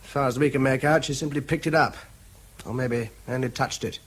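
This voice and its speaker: An actor playing an earnest young sapper officer with a British accent, polite, hesitant and understated. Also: male voice, mid-range voice, fairly even intonation, a little fast, a bit bright, breathy edge. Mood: grave, puzzled. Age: young adult. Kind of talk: radio drama dialogue.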